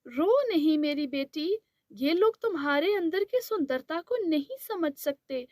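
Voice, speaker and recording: A woman voices a loving mother in a cartoon tale, calming her crying daughter. She talks gently, slow and very high. The sound is dry. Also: dry recording. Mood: soothing. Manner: soothing.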